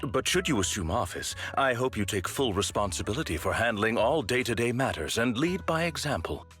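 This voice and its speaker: A man's voice: medium pitch, animated, brisk, fairly bright tone, faint music behind, close to an everyday voice. A man voicing a formal, composed butler figure in a game cutscene, polite and precise. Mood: measured.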